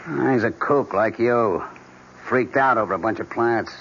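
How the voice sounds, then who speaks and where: An actor playing a gruff, dismissive husband, blunt and impatient, in a 1970s radio drama. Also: male voice, medium pitch, moderate pace, fairly bright tone, natural voice. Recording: dull 1970s radio broadcast with faint hiss.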